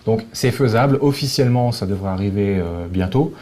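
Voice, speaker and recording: Low, mellow Frenchman explaining informally. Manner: informal, halting, repeats phrase starts. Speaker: guest, video game translator. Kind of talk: casual, explanatory interview talk.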